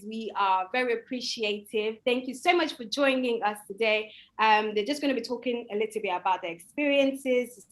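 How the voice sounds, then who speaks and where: A young woman hosting a student livestream, talking casually and informally as she shares advice. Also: medium pitch. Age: young adult. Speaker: student host of a live online event. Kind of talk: casual, chatty livestream talk.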